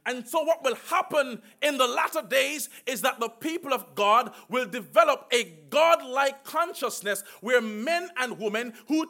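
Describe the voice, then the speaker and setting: A man preaching a sermon with emphatic call-and-response and slow, drawn-out phrases. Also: very high-pitched, pushed and bright.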